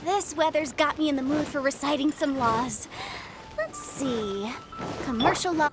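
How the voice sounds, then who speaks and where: Very high, cheeky young eccentric woman. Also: unhurried. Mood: breezy. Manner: playful theatrical salesmanship. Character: eccentric, cheeky young female funeral parlor director. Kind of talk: animated game character acting. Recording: music behind the voice.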